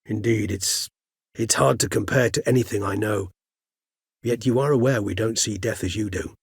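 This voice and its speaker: An actor voicing an erudite, gentle, world-weary old vampire scholar in a video game, speaking in measured, courtly, bookish phrases. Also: male voice, on the low side, slightly rough, no echo, studio quality. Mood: measured, pensive. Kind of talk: video game character dialogue.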